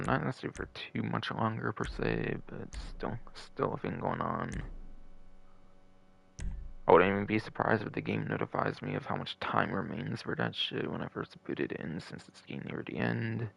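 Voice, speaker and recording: Deep, gravelly male game streamer, swearing casually. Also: sing-song, moderate pace, a bit bright. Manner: rambling, muttering asides, profane. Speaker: video game live streamer. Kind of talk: casual rambling livestream commentary. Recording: faint background noise.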